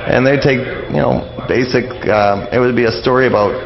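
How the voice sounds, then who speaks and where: A middle-aged man, explaining things as a guest in a casual radio interview, halting and rambling as he goes. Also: mid-range voice, creaky, highs cut off. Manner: halting, rambling explanations peppered with "you know" and "like".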